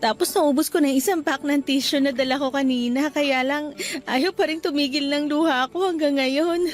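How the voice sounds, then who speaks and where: A Filipino-accented woman acting in a radio drama as a meek, gentle young girlfriend with an apologetic manner. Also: high-pitched, moderate pace, faint music behind, close to an everyday voice. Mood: tearful, subdued. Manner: pleading, soft-hearted, apologetic.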